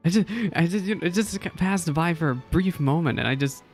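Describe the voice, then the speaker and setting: A woman's voice: on the low side, a little fast. A woman giving casual, joking reaction commentary as she plays a game. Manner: joking, chattering over the game. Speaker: game streamer. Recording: game music behind the voice.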